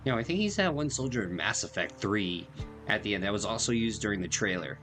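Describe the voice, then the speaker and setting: A man's voice: lowish voice, lively intonation, quick pace, a bit bright, soft background music. A man streaming a game, giving casual, joking, slangy commentary as he plays.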